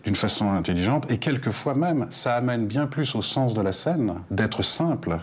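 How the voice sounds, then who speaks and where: A man's voice: medium pitch, expressive, moderate pace, husky. An older man speaking unscripted in an interview as an animation producer.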